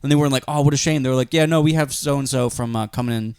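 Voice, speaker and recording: Philly guy telling a rambling profane story, mid pitch, dry close mic. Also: rapid-fire, vocal fry, darkish tone, clean tone, dry recording. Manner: rambling storyteller, loose and profane, acts out quotes. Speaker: podcast co-host. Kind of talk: casual comedic chat.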